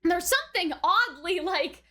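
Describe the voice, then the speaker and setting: A woman giving casual, chatty anime reaction commentary in an animated way, often adding "like" and checking in with the listener. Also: very high-pitched, normal speed. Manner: chatty, animated, frequent "like" and "right?". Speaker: anime reviewer.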